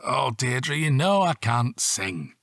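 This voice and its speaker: An actor with a British accent playing a dry, teasing police detective and long-married husband in an audio drama. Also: male voice, medium pitch, very animated, slow pace, gravelly, a bit bright, no room sound, full character voice. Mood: gently chiding. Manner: wry, dry-humoured. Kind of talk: naturalistic audio drama acting.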